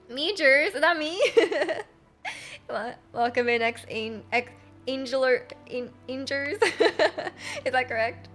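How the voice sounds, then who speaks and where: A woman's voice: a little high, fairly bright tone. A woman chatting casually with viewers while she plays a game on a live stream, rambling and repeating herself in a giggly, hesitant way. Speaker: game livestreamer. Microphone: close streaming microphone.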